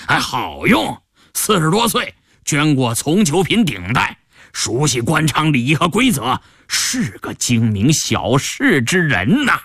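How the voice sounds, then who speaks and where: A man's voice: a little high, very animated, measured pace, vocal fry, fairly bright tone, breathy edge, no room sound, clean studio sound, voice pushed up, full character voice. A man playing a flustered, deferential provincial official. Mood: obliging. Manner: hesitant, stammering, chuckling deference. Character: deferential, flustered male provincial governor, obliging and ingratiating. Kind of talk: Chinese audio storytelling.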